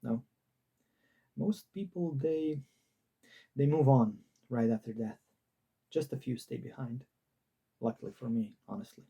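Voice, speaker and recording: A man voicing a calm, wry, sad woman in a fiction reading. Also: mid-range voice, a little slow, gravelly, pauses often, breathy edge, highs cut off. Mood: sad, frank.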